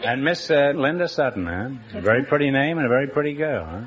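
A man hosting a quiz show, teasing and joking with contestants in quick banter. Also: medium pitch, expressive. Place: broadcast studio with live audience. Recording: dull old radio broadcast with studio audience.

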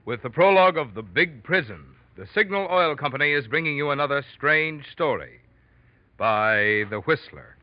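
Animated male old-time radio announcer. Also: a little high, normal speed, dry recording. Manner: emphatic, salesmanlike. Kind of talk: 1940s radio sponsor read, scripted. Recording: dry, muffled old radio recording.

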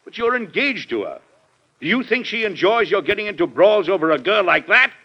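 A man's voice: high voice, very animated, brisk, husky, full character voice. An actor playing a stern, weary President and exasperated father in an old-time radio drama, speaking formally and reproachfully. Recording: dull lo-fi vintage radio recording.

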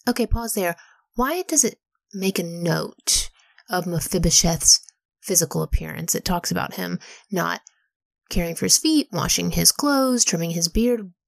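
A woman in a soft whisper, reading scripture aloud and pausing to ask study questions. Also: on the low side, expressive, vocal fry, dry recording. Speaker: Bible study leader.

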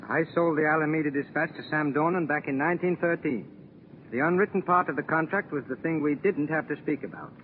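Male actor as principled old newspaperman, earnest, higher-pitched, tinny 1940s radio play sound. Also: brisk, a little hiss or hum, natural voice. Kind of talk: 1940s radio drama acting.

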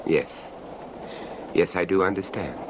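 Urbane traveler telling a creepy radio tale, deep voice, measured n literary, noisy train sound behind. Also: male voice. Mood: polite. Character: urbane, reserved male traveler narrating a suspense tale. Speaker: narrator and character. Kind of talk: old-time radio horror narration and acting.

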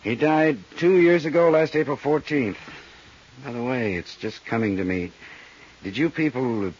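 A man playing a big, easygoing American accountant in his late forties in a 1950s radio drama, husky and chatty, with a folksy, rambling manner. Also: medium pitch, moderate pace, darkish tone, natural voice. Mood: chatty, explaining. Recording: dull, muffled 1950s radio recording.

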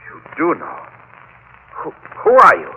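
An actor playing a scheming, cowardly family lawyer, nervous and stammering, in a classic radio drama. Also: male voice, a little high, wildly swooping pitch, a little slow, bright, forceful tone, exaggerated voice. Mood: fearful confessing. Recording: muffled, noisy vintage radio recording.

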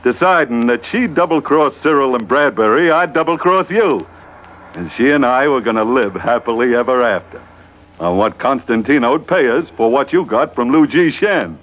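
A man's voice: mid-range voice, expressive, moderate pace, close to an everyday voice. An actor playing a brash, roguish ship's first mate with blunt tough-guy banter in a 1940s radio adventure. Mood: defensive, sly. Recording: tinny, faintly noisy old radio recording.

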